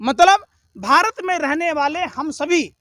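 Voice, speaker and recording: A man's voice: extremely high pitch, animated, takes it slowly, bright, forceful tone, dry recording, clean studio sound. A man speaking in a heated political argument, combative and indignant, with emphatic repetition and rhetorical questions.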